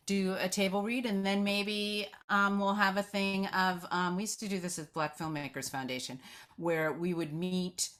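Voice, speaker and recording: A woman's voice: mid-range voice, fairly even intonation, normal speed, fairly bright tone. A woman moderating a casual question-and-answer session in an informal, conversational way. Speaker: moderator of the reading.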